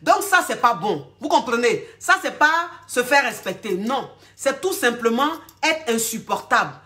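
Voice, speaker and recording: A woman relationship coach with a cold, talking in an animated, repetitive way and calling her listener darling. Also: fairly high pitch, a little slow. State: has a cold. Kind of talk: casual advice vlog talk.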